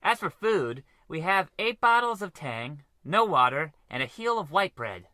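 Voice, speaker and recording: An actor playing an earnest, dutiful spaceship navigator in a comic old-style radio adventure, reporting deferentially to a superior. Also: male voice, sits high, big pitch swings, a little slow, pushed and bright, no echo, heavily acted. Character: dutiful, eager young male spaceship navigator lieutenant.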